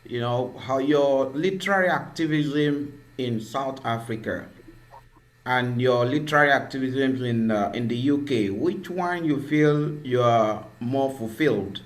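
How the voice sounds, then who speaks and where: A man's voice: mid-range voice, unhurried. A man co-hosting a literary interview, politely cutting in with a question. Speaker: co-host.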